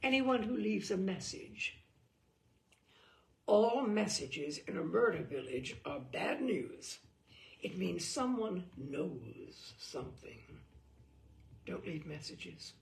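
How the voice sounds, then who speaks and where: A woman reading a humorous book aloud in a theatrical, deadpan way, with dramatic emphasis and pauses. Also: on the low side, vocal fry. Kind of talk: theatrical read-aloud of dry comic prose.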